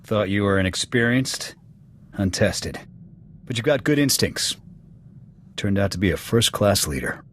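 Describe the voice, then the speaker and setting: An actor playing a gruff, proud military officer in a video game, terse and clipped in delivery. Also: male voice, on the low side, expressive, measured pace, pauses often, a bit bright, a little hiss or hum. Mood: grudging respect. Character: gruff, proud male military sniper officer, loyal but hot-tempered. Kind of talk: video game dialogue acting. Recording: music behind the voice.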